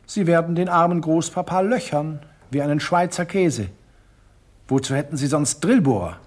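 Ein Schauspieler spielt in einem deutschen Hörspiel einen glatten, sadistischen Schurken, der spöttisch und genüsslich spricht. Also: male voice, fairly high pitch, expressive, normal speed, a little mellow, a little hiss or hum, natural voice. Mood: mocking. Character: suave sadistic villain, middle-aged man, mocking. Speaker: villain Sir Bogomil. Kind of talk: German radio drama acting.

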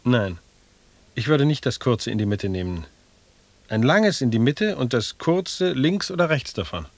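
A man's voice: mid-range voice, expressive, measured pace, no echo. An actor playing a brooding, earnest man who ponders his questions in a radio play. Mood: troubled, pondering. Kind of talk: 1980s German radio play acting.

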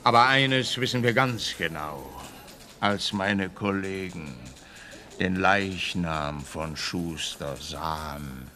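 An actor playing an officious, needling police officer in a radio play, speaking emphatically with a Swiss Standard German accent. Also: male voice, low voice, slow pace, pushed and bright, soft background music, full character voice. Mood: reluctant, emphatic.

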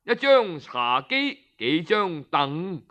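A man with a Cantonese accent narrating a traditional tale in an expressive, colloquial storyteller's manner. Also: fairly high pitch, slow.

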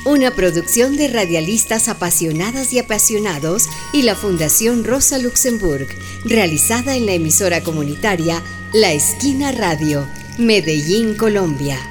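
A woman's voice: mid-range voice, expressive, normal speed, music in the background. A woman announcer reading a scripted production credit.

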